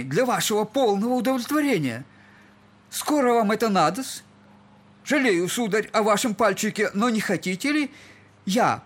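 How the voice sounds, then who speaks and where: A humiliated, middle-aged captain in a theatrical audio drama. His high, husky voice swoops widely as he clowns with servile, mocking politeness that hides defiance. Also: fairly bright tone, exaggerated voice. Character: shabby drunken captain, buffoonish, humiliated yet defiant.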